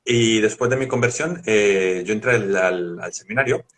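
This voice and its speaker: A man, a guest evangelist, telling his story in a relaxed, good-humored way. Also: fairly low pitch, moderate pace. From Colombia. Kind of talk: personal testimony in conversation.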